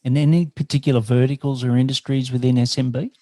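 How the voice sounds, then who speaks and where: A man's voice: mid-range voice, fairly even intonation, quick pace, mellow, no room sound. Man hosting a podcast, dry close sound. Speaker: podcast host and interviewer.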